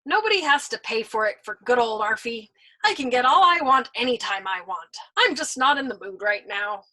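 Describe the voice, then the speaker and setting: A woman voicing a smug, pudgy young male airman who boasts loudly. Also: a little high, expressive, moderate pace, rough recording. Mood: boastful.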